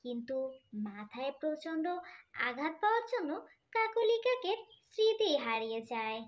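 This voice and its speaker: A woman's voice: high voice, big pitch swings, moderate pace, dull audio. A woman narrating a scripted children's cartoon story as the storyteller. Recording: music playing behind voice.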